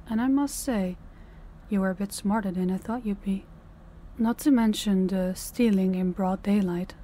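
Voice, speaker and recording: A woman playing a stern, condescending female mafia boss in an intimate audio roleplay, giving measured orders directly to the listener. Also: mid-range voice, dark tone, natural voice.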